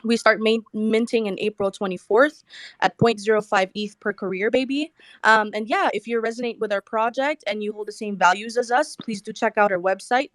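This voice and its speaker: A woman from Hawaii gives a prepared pitch for her project in a steady, read-out way. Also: a little fast, no echo.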